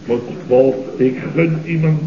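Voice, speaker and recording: An actor playing a long-winded, aggrieved office boss in an old comedy radio play, theatrical and pompous. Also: male voice, a little high, slow, dark tone. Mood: pompous, indignant. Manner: theatrical, pompous, repeating his listener's name. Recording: old roomy, muffled radio recording.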